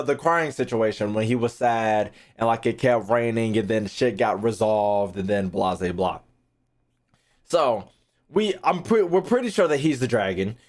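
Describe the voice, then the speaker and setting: Rambling young American man reacting to a game. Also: medium pitch. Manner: rambling, repetitive, slangy. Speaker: gaming streamer. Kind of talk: casual, profane game reaction chat.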